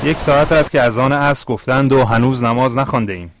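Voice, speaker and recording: A man's voice: mid-range voice, lightly acted. A man voicing an anxious, devout younger traveler in a cartoon, earnest and dramatic. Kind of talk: dubbed cartoon dialogue.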